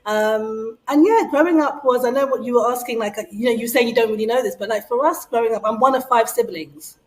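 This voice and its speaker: A woman's voice: a little high, fairly quick, poor audio. A woman raised in London, talking about her upbringing as an interview guest, chatty with frequent fillers and easy laughter. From Nigeria, raised in London. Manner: chatty, lots of fillers and restarts, laughs easily. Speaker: guest, beauty brand strategist. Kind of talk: casual interview conversation.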